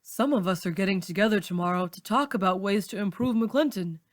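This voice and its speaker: Woman voicing an earnest, low-key teenage boy. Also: brisk, no echo, studio quality, lightly acted. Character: earnest, calm, friendly teenage boy classmate.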